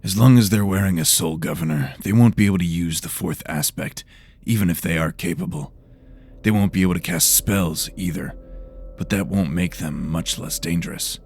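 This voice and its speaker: A man's voice: sits low, normal speed, a little mellow, husky. A man playing a brusque investigator of magical murders in a fantasy audio drama, blunt and demanding. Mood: grim, explanatory.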